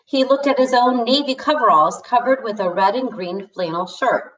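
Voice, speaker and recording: Female storyteller for an expressive novel read-aloud; lowish voice, roomy and distant sound. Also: moderate pace. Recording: echoey room, distant from the mic.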